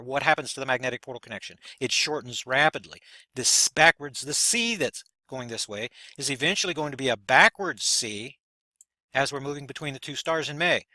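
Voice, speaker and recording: A man's voice: medium pitch, brisk, bright, forceful tone, no room sound. A man hosting a video, talking through a chart in a loose, rambling way, often repeating words as he points things out. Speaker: video host explaining a chart. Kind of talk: casual explanatory monologue.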